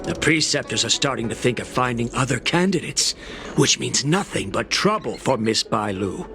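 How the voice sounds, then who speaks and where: An actor playing a proud, protective dragon-folk alchemist in a video game, speaking formally with grave insistence. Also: male voice, medium pitch, expressive, normal speed, sharp tone. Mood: stern, earnest. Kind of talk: video game cutscene acting. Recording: music behind the voice.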